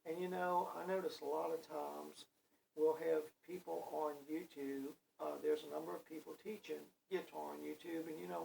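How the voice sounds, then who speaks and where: Brief: older male guitar teacher, very gravelly, folksy and rambling, a little off-mic with faint noise. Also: on the high side, a little mellow. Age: 60s. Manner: rambling, folksy, with many fillers. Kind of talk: casual spoken guitar lesson. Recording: voice a bit far from the mic, faint background noise.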